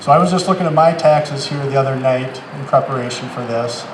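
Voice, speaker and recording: A man with an average-pitched voice lays out his candidate answer methodically. The outdoor sound system is very echoey, with faint background noise. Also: brisk. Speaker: city council candidate. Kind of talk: explanatory answer at a public forum. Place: outdoors in a town park. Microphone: public address microphone.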